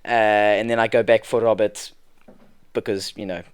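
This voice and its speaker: A man voicing a comic fake prince, telling tales in a rambling deadpan way. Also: fairly low pitch, normal speed, smooth, dry recording, clean studio sound, lightly acted. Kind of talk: comedy podcast bit.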